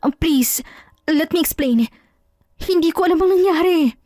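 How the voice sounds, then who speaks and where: A woman's voice: very high-pitched, unhurried, warm tone, dry recording, studio quality, pitched above the performer's own voice. A woman playing a desperate young wife wrongly accused of cheating, pleading urgently in a melodramatic audio drama. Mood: panicked pleading.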